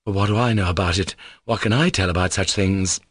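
A man voicing an earnest, gentle young man full of sorrow and self-blame in an audiobook story. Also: deep voice, brisk, slightly rough, dry recording, studio quality. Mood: sorrowful.

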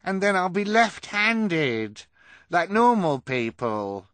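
Storybook simpleton: raised pitch, emphatic, easily impressed carnival man. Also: very animated, slow pace, a bit bright, no echo, heavily acted. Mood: elated. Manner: exclaiming, emphatic.

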